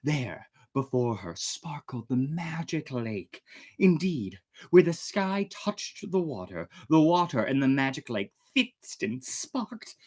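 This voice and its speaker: Animated American storyteller with swooping pitch. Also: takes it slowly. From United States, Oklahoma. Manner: dramatic, expressive when narrating, chatty and self-deprecating in asides. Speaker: storytelling host. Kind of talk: animated storytime reading with casual fun-fact asides.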